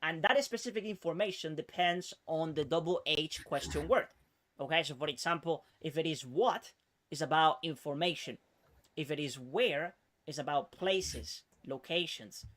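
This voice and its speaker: A man's voice: a little high, normal speed, a bit bright. A man teaching English one-on-one, patiently explaining question words and checking in often with "okay?", sometimes explaining in Spanish.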